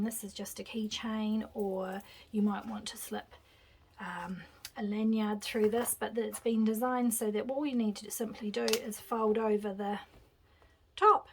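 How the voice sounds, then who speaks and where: Woman craft tutor, slightly high voice, relaxed chatty talk, faint noise behind. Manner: chatty, relaxed, thinking aloud while working. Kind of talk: casual craft demonstration. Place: craft table indoors, hands seen from overhead camera.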